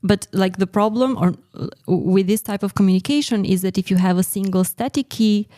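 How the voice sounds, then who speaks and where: A woman explaining her research as a podcast guest, pausing hesitantly in mid-sentence as she goes. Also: medium pitch, mellow, no room sound, studio quality. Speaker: guest researcher in secure messaging.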